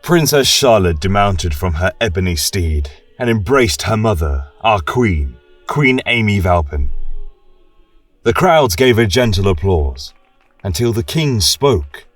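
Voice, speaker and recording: A man's voice: lowish voice, big pitch swings, measured pace, far from the mic. A man narrating a scripted fantasy tale in a solemn, dramatic manner. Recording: echoey room, music behind. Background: choral music.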